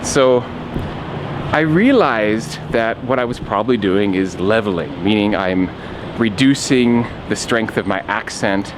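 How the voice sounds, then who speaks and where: A man from Wisconsin in his thirties, talking to camera in a casual vlog, speaking slowly and enunciating each word with clear separation. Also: on the low side, animated, background noise. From Wisconsin, United States. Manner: slow, deliberately enunciated speech with clear separation between words. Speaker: YouTuber living in Japan.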